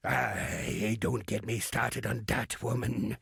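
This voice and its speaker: Grizzled old skipper for a game, super raspy n croaky, lusty grumbly old salt. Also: male voice, lowish voice, takes it slowly, fairly bright tone, dry recording, clean studio sound, full character voice. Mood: flustered, fearful. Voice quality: gravelly, raspy. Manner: salty archaic sailor's way of talking, theatrical. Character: lusty, crusty old male pirate sea captain, roguish and grumbling. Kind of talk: video game character acting.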